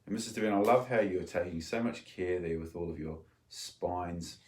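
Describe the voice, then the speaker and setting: A man's voice: deep voice, normal speed, darkish tone. An Australian man co-hosting children's story time, chatting casually and a little hesitantly to kids at home.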